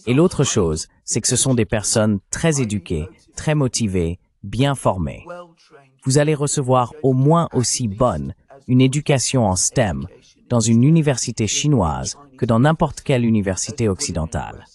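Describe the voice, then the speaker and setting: A synthetic male voice carries a translated commentary. It has a mid-range pitch that swings widely, and it argues its case with steady emphasis. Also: moderate pace. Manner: emphatic, argumentative.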